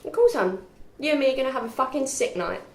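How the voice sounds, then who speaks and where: A woman's voice: sits high, lively intonation, fast. A young woman playing a blunt, party-loving student in a naturalistic film table read, recorded in an echoey, noisy room. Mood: upbeat. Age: young adult. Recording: echoey room with clear background noise.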